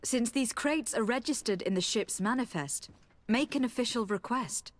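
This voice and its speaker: A woman's voice: a little high, normal speed, close to an everyday voice. A woman voicing a poised, practical noble diplomat in a video game, formal and assured. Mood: inquisitive, practical.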